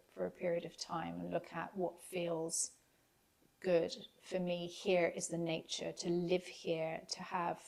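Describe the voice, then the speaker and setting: A woman guest on a podcast, speaking English as her first language, talking thoughtfully with many pauses and fillers. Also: lowish voice, gravelly, darkish tone. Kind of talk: reflective casual conversation.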